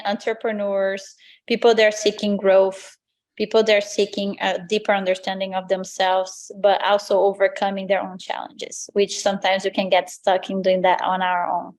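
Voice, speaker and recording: A woman's voice: medium pitch, level tone, normal speed, a little mellow. A woman from Brazil with a Brazilian accent, a native Portuguese speaker, answering interview questions as a lifestyle coach in an earnest, motivational way. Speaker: lifestyle coach, podcast guest.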